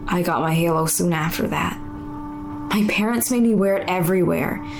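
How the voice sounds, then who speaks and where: A woman's voice: on the low side, normal speed, creaky. A young woman acting in an audio drama as a weary, candid non-binary muse, telling their story in a plain, conversational first-person way. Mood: candid, wistful. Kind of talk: confessional monologue in audio fiction. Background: music under the voice.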